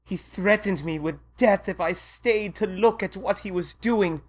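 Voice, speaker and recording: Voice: mid-range voice, measured pace, lightly acted. An actor playing a timid, loyal young manservant in an audio drama, hesitant and deferential. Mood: fearful. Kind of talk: audio drama acting of modernized Shakespeare.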